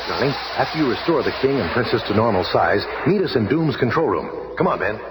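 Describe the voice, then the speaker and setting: An actor playing a commanding, urgent superhero team leader in a 1970s radio drama. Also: male voice, mid-range voice, expressive, fast talker, close to an everyday voice. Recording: very noisy old radio recording.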